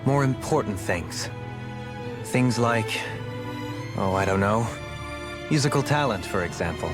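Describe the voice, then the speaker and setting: An actor playing a dutiful, thoughtful young nobleman in a game, speaking in a formal, measured, earnest way. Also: lowish voice, animated. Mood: thoughtful, hopeful. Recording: prominent background music.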